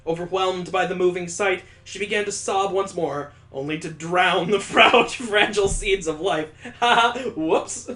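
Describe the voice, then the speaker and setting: A man with a slightly high voice reads a story game's text aloud in a mock-solemn tone. He keeps interrupting himself with dry, sarcastic jokes about what he's reading. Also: measured pace, hardly any pauses, sharp tone. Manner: wry, mocking asides between dramatic readings. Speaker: let's play host.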